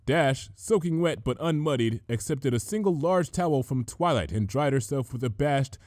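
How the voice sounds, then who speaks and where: A man's voice: mid-range voice, animated, moderate pace, a little mellow, no room sound, clean studio sound. A man reading a story aloud as a scripted storybook narration. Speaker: fan fiction reader.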